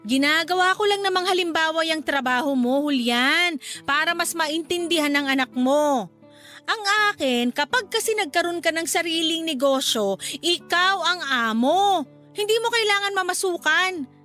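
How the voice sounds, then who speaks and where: A woman's voice: very high-pitched, normal speed, sharp tone. A woman playing a stern, nagging, hardworking mother who scolds in exasperation, in a Filipino radio drama. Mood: preachy. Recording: music bed behind the voice.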